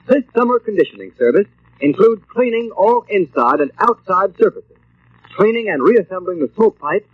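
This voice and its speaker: Animated, higher-pitched male radio announcer. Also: breathy edge. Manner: emphatic, formal sales pitch. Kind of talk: 1940s scripted radio advertising. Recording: muffled, noisy old radio recording.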